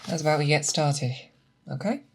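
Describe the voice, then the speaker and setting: A performer in an ASMR roleplay playing a patient, methodical monster hunter who mentors an apprentice, speaking deliberately and coaxing with questions. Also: mid-range voice, slight background noise, lightly acted. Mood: calm, focused. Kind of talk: ASMR roleplay, scripted character monologue.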